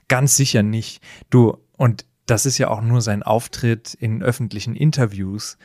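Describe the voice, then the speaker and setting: A man's voice: medium pitch, fairly even intonation, a little slow, a little mellow, no room sound, clean studio sound. A man in a conversational podcast discussion, explaining things with emphatic phrasing. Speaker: podcast co-host.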